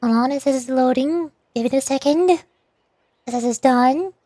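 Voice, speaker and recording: A woman's voice: sits high, moderate pace, mellow, dry recording. A woman livestreaming a game, chatting playfully and muttering to herself as she goes. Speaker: VTuber streamer. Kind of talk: livestream chat while gaming, reading game dialogue aloud.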